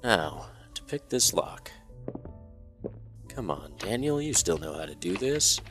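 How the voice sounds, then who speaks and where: A man voicing a measured, inquisitive detective in an amateur radio play. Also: deep voice, very animated, over music, full character voice. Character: calm, curious amateur detective.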